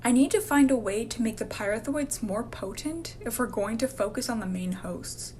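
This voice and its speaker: Woman as serious, high-voiced scientist. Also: moderate pace, a little mellow, a little hiss or hum, lightly acted. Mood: firm, determined. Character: determined female infectious-disease doctor, serious and authoritative. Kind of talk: amateur radio drama dialogue.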